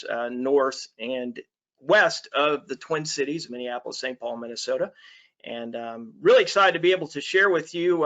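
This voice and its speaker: A man's voice: mid-range voice, bright, forceful tone, lo-fi sound. A middle-aged man from Alabama giving an online basketball coaching talk, friendly and full of fillers. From Alabama, USA. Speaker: basketball coach presenting a webinar. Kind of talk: online presentation.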